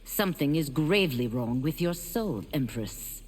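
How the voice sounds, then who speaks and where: An earnest, formal warrior woman speaks very low and with grave respect. The game dialogue has dramatic rises and falls over faint background noise. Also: animated, a little slow, darkish tone. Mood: sombre, earnest.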